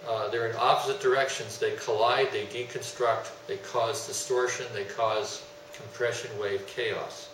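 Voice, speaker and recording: A man giving an explanatory technical presentation in a deliberate, didactic way, stressing his key terms. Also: mid-range voice, steady tone. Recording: roomy, distant mic, faint hum.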